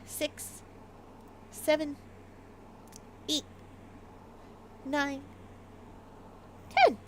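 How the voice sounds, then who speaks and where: A woman's voice: high-pitched, animated, very slow, a bit bright, slight background noise. A Canadian woman with a light Maritime Canadian accent, chatting casually and giggling as she livestreams a game. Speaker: game streamer.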